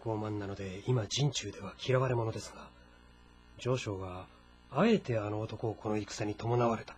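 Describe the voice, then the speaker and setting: An actor playing a calm, deferential adviser to a warlord in a historical game drama, speaking formally and deliberately. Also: male voice, lowish voice, a little hiss or hum, lightly acted. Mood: cautious, counselling. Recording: music behind the voice. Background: background music.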